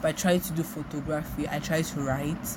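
A woman's voice: low-pitched, steady tone, fairly quick, heavy background noise. A young Nigerian woman with a Nigerian accent, rambling reflectively on her solo podcast and often repeating herself. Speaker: podcast host. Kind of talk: casual solo monologue.

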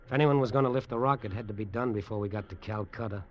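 A man's voice: fairly low pitch, very fast, natural voice. An actor with an American accent playing a sardonic, hard-boiled tough guy in an old radio noir drama. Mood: wry narration. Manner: clipped, sardonic. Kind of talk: 1940s-50s radio drama acting, noir narration. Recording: dull old radio recording with music.

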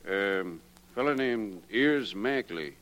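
An actor playing an offhand, slangy music shop proprietor in a 1940s radio crime drama, casual, blunt and streetwise. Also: male voice, on the low side, expressive, slow pace, a bit bright.

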